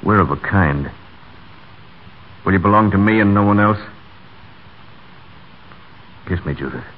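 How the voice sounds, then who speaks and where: An actor playing a brash, brooding, lovestruck stable hand, blunt and earnest, in a 1940s radio drama. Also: male voice, sits low, a little slow. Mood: ardent. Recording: tinny, narrow 1940 radio broadcast.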